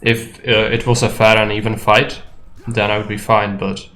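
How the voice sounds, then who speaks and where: Low, flat Czech-accented man. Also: normal speed, faint music behind. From Czech Republic. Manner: musing aloud, blunt and matter-of-fact. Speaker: streamer. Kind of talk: casual gameplay commentary.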